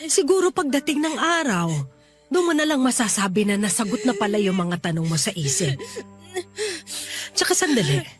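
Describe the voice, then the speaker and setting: A woman playing a loving, protective middle-aged mother in a sentimental audio drama, earnest and consoling. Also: high voice. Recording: music behind the voice.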